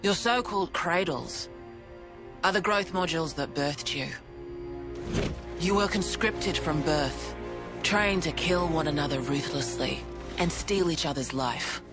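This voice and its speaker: A woman's voice: low-pitched, measured pace. An actress playing an earnest, blunt female resistance officer who explains a grim war in a video game. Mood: grave, intense. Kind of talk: video game cutscene acting. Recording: orchestral game music behind.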